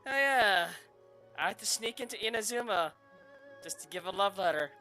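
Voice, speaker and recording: Bright-voiced gamer commenting casually. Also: mid-range voice, lively intonation, normal speed. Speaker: gamer commenting on a story quest playthrough. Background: game music.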